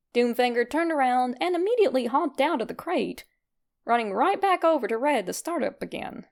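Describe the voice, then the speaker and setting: A woman reading fan fiction aloud as an expressive, scripted story narration. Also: high voice, creaky.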